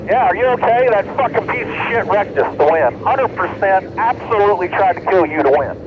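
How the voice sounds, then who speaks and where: A man's voice: high voice, expressive, brisk. A man talking bluntly and with exasperation over a race team radio. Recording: dull team radio, faint engine noise.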